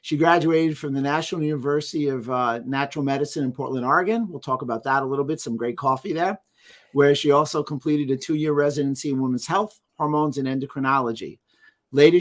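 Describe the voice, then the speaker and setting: A man hosting an interview podcast, upbeat, reading a guest's bio with small asides. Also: medium pitch. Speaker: podcast host. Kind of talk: interview introduction and questions.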